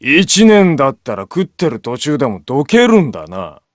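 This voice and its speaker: A man voicing an intimidating, gruff and confrontational teenage rugby player in a Japanese visual novel. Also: a little high, wildly swooping pitch, fast talker, no room sound, studio quality. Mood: threatening. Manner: menacing, confrontational.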